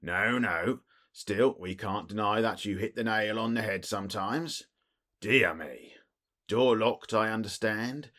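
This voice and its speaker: Man playing a husky, blustering police detective. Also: on the low side, unhurried, a bit bright, voice pushed up. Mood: brisk, bluff. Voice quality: muffled, husky, wheezy. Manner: blustering, short clipped phrases. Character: stout, bluff, self-important middle-aged male police detective. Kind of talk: audiobook character voice.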